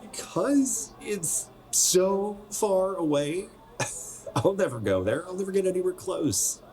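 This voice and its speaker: A young man playing a wistful, self-conscious 27-year-old in a naturalistic radio drama, speaking in a halting, conversational way. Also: high voice, expressive, a little mellow, low-quality recording. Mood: chatty, deflecting. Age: young adult.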